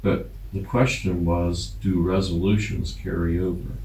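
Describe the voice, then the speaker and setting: An American man questioning a council at a public meeting, haltingly rephrasing his point. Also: low-pitched, steady tone, a little mellow. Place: indoor council chamber. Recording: echoey room, distant mic, clear background noise.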